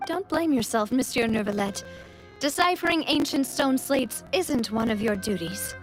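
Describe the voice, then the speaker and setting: A thoughtful young woman investigator from a video game, played by an actress. Her voice is high, earnest and polite, with an inquisitive delivery. Faint music plays underneath. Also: expressive, moderate pace, close to an everyday voice, low-quality recording. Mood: concerned.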